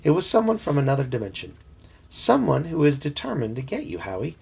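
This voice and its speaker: A man's voice: fairly high pitch, huge pitch swings, fairly quick, creaky, crowd noise, exaggerated voice. A father voicing a bossy, know-it-all girl who explains things in a matter-of-fact, lecturing way.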